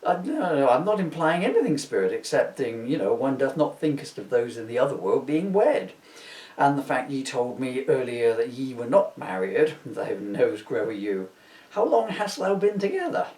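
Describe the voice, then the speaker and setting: A man's voice: medium pitch, big pitch swings, moderate pace, darkish tone. A man playing a querulous, repentant miser in his thirties for a comic audiobook, theatrical and mock-archaic in phrasing. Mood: placating. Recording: roomy, echoey, distant microphone.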